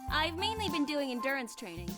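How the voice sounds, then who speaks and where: Stern young athlete girl in a visual novel, high voice, deadpan, music behind. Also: a little fast. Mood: reserved, polite. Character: reserved, stern young female student athlete. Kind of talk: visual novel game voice acting.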